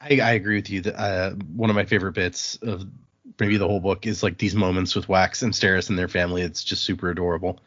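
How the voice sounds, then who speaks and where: A man's voice: sits low, fairly even intonation, quick pace, a bit bright, dull audio. A man hosting a casual book discussion in an informal, chatty, digressive way. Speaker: podcast host.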